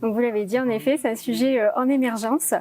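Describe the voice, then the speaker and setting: A woman from an interview, speaking with a French accent in a fairly high voice. She explains things in a didactic way, setting out each point in turn as a project lead would. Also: steady tone, fast talker, mellow. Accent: French (France). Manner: didactic, builds points step by step.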